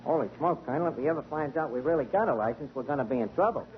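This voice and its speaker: An actor playing a streetwise tavern manager who talks in slang and fumbles fancy words, in 1940s radio comedy style. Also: male voice, on the high side, animated, racing, close to an everyday voice. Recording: muffled, very hissy 1940 radio broadcast.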